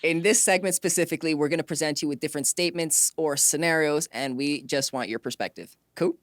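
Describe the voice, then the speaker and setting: A man's voice: fairly high pitch, fast, a bit bright, dry recording. A man hosting a podcast interview, asking conversational questions and introducing segments.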